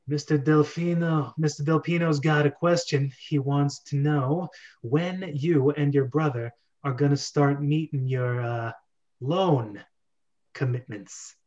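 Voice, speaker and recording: A man's voice: a little high, a little slow, a little mellow, lightly acted. A man reading the part of a threatening mafia enforcer on a video call. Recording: dull lo-fi video call audio.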